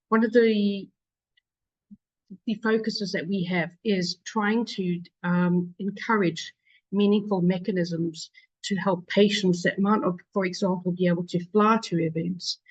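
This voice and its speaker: A woman's voice: on the low side, a little mellow, dry recording, muffled recording. A woman with a South African accent presenting her charity in a webinar, hesitant with many fillers. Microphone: video call.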